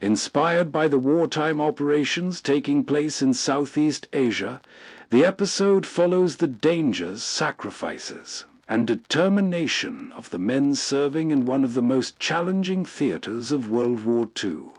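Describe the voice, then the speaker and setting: A man hosting with atmospheric scripted narration in measured storytelling phrases. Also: mid-range voice, animated, a little mellow, no room sound. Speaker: host.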